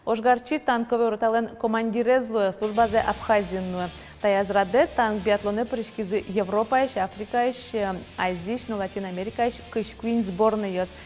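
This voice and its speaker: A woman's voice: mid-range voice, moderate pace, hardly any pauses, faint music behind. Woman reading regional news, reverby. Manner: steady, even newsreader delivery. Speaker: news anchor. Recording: long reverb, faint noise.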